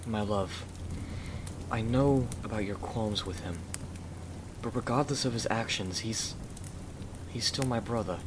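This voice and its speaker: A man playing a gentle, devoted young Victorian gentleman husband in an ASMR roleplay, speaking earnestly and courteously. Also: on the low side, unhurried, long pauses, noisy background, natural voice. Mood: tender, concerned.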